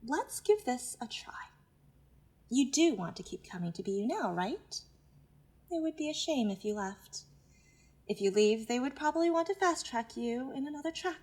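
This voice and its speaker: Patronizing self-help mentor, high voice, animated melody, insistent, naturalistic audio drama. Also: female voice, moderate pace. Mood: pressing, insistent.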